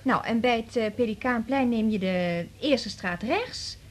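An actress playing a helpful, matter-of-fact doctor's assistant in a radio drama, with a husky voice. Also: female voice, a little high, measured pace.

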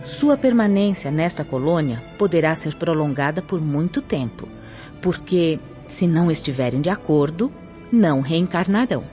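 A woman's voice: deep voice, expressive, moderate pace, mellow. An actress playing a composed female spirit guide in a radio drama, explaining things formally. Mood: serious, instructive. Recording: dull lo-fi audio. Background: background music.

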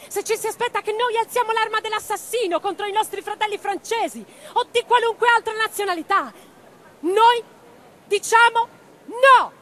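A woman's voice: very high voice, moderate pace, sharp tone. An actress playing an impassioned middle-aged socialist revolutionary woman in a theatrical radio drama. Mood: defiant oratory. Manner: declamatory in speeches, intimate in private moments.

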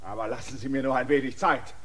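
An actor playing a proud, defiant land surveyor in a radio play, indignant and prone to angry outbursts. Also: male voice, medium pitch, fairly even intonation, fast, close to an everyday voice. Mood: furious. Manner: indignant, bursts into angry shouting. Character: proud defiant male land surveyor demanding his rights.

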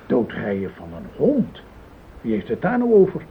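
Pushy formal dutch cop in a radio play, accusing, tinny old recording with some hiss. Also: male voice, mid-range voice, wildly swooping pitch, moderate pace. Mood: stern, accusing. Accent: Netherlands Dutch. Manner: formal, blunt, pressing. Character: stern, accusing male police investigator.

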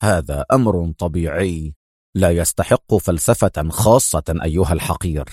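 A man voicing a cold, mocking, stern male secret agent in an audio story, speaking with unhurried, sardonic menace. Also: very deep voice, warm tone, no echo, studio quality, voice pushed down. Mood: stern, menacing.

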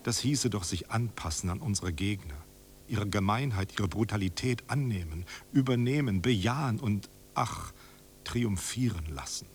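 A man's voice: lowish voice, expressive, normal speed, husky. A man in a German radio drama playing a refined, idealistic friend who pleads solemnly and formally, as if reading a letter aloud. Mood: gentle, solemn. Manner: solemn, imploring, rhetorical, formal.

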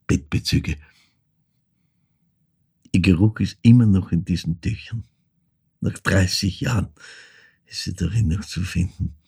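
An actor playing an elderly man in a radio drama monologue, recalling his dead mother in halting, hushed, reflective phrases with a husky, gravelly voice. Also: low voice, full character voice. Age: older.

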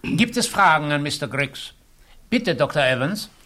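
Crisp, formal male hearing chairman. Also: a little high, animated, sharp tone. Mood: official. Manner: brisk, formal, procedural. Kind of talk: radio play dialogue.

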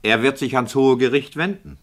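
An actor playing a questioning, indignant director in a radio play, speaking in a formal and sceptical manner. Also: male voice, mid-range voice, moderate pace, a bit bright, natural voice. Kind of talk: 1960 German radio drama dialogue.

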